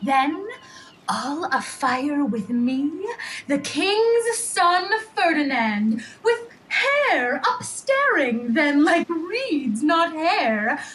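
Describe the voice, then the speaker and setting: A woman playing an eager, spirited sprite of the air in a Shakespearean audio drama, speaking verse in a theatrical, deliberate way. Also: high voice, very animated, fairly bright tone, exaggerated voice, poor audio. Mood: exultant, dramatic. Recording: remote recording with faint background noise.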